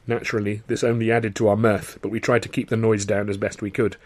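A man reading a first-person audiobook in a wry, expressive storytelling manner. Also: fairly low pitch, quick pace, no room sound. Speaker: author reading own novel.

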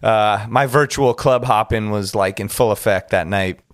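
A man hosting a podcast, interviewing his guest with excited, hyped-up energy. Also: lowish voice, normal speed, dry recording, clean studio sound. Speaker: podcast host.

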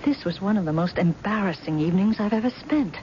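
A woman's voice: medium pitch, animated, fast talker, natural voice. An actress playing a long-suffering wife, restrained and reproachful toward her overbearing husband, in a radio drama. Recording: muffled old radio broadcast, faint hiss.

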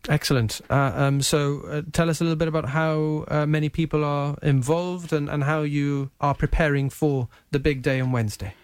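A man's voice: fairly high pitch, fairly even intonation, moderate pace, hardly any pauses, smooth, no echo, clean studio sound. A man with a Gibraltarian accent hosting a casual live radio interview, dropping the odd Spanish word into his English. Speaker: radio presenter interviewing guests. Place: radio studio.

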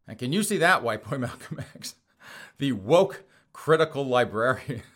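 A hoarse man hosting a show, reading news items and mocking them with frequent laughs. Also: mid-range voice, lively intonation, moderate pace, a bit bright. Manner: sarcastic, laughs often between phrases. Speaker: podcast host. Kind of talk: casual mocking commentary.

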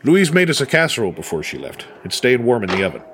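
A middle-aged dad in an audio drama, folksy and fond of ribbing. His pitch swings freely as he rambles, teasing and doting in equal measure. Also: huge pitch swings, fast talker, a bit bright. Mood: fond, wistful. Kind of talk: naturalistic audio drama dialogue.

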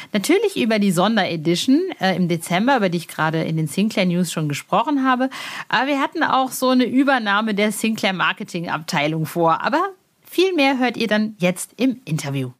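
Woman, podcast host, mid-pitched voice, enthusiastic, informal, chatty delivery. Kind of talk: chatty podcast presenting and interviewing.

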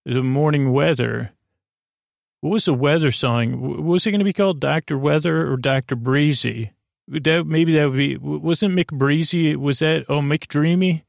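Creaky, rambling man hosting a bedtime podcast. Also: medium pitch, dry recording, clean studio sound. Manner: meandering, halting, self-interrupting, goofy. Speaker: podcast host. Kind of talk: rambling bedtime monologue to help listeners sleep.

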